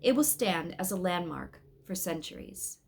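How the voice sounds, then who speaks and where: Woman reading as a calm, authoritative guardian angel. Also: lowish voice, moderate pace, gravelly, breathy edge, exaggerated voice. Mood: informative.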